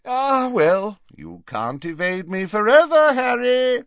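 Booming, pompous old male professor. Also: sing-song, slow, fairly bright tone, no room sound, dull audio, heavily acted. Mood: dramatic, undeterred. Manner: theatrical, effusive, cajoling. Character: jovial, pushy, pompous older male professor.

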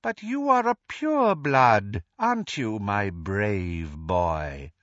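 A man voicing a cruel dark lord with a soft, hissing, silky voice, drawling his words slowly and mockingly. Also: lowish voice, big pitch swings, no room sound, lo-fi sound, full character voice. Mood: silky menace. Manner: slow, drawn-out, mocking.

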